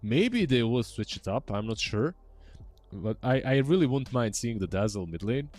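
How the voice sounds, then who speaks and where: A young man casting an esports match, chatting in a relaxed way and often trailing off mid-sentence. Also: mid-range voice, moderate pace, dry recording. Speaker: esports caster. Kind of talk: casual esports commentary.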